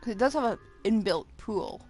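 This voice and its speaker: A woman gives casual live commentary as a game streamer, thinking out loud. The voice sits at a medium pitch with animated swings, and faint music plays behind. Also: normal speed.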